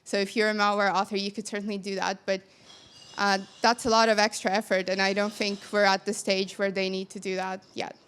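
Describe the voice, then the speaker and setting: A woman's voice: medium pitch, level tone, fairly quick, a bit bright, smooth. A young woman from Finland answering audience questions after her conference talk, speaking into a handheld microphone in a large hall.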